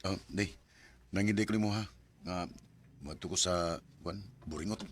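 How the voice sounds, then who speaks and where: A man's voice: low voice, moderate pace. A man playing an earnest, eager young suitor in a Bisaya radio drama, pleading tenderly with a Bisaya accent.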